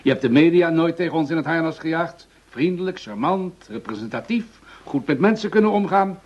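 An actor playing a pragmatic, patronizing senior police commissioner in a radio drama, dismissive and mildly impatient. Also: male voice, a little high, brisk, vocal fry, darkish tone. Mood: puzzled, patronizing. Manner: dismissive, patronizing, hesitating with "nou". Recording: slightly dull, lo-fi radio recording.

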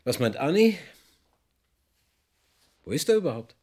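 An actor playing a flippant office colleague, casual and drily suggestive, in a German radio drama. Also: male voice, a little high, very animated, a little slow, darkish tone. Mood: wry. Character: flippant male colleague in a programme meeting.

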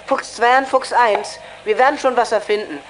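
A woman's voice: on the high side, steady tone, moderate pace, faint music behind, lightly acted, poor audio. A woman playing a practical, confident child detective in a youth radio play.

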